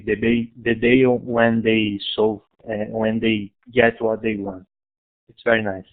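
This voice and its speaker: A man from Brazil with a Brazilian Portuguese accent, giving a talk about his school over an online conference in halting English with many restarts. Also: mid-range voice. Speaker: guest speaker, school founder. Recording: tinny online call audio.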